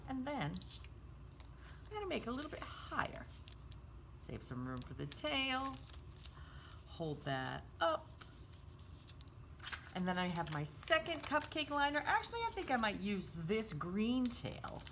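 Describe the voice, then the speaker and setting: Animated woman leading a children's craft. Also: medium pitch, gravelly, background noise. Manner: sing-song, simple child-directed explaining, often refers to self in third person. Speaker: children's storytime and craft host. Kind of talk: step-by-step craft instruction for young children.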